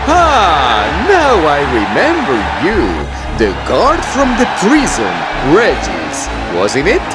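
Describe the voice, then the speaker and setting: A man's voice: a little high, wildly swooping pitch, slow pace, exaggerated voice, poor audio. A man playing a wry, cocky rogue fighter in a fantasy fan dub, sardonic and theatrical. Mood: wry, taunting. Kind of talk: dramatic fan dub acting. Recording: loud music under the voice.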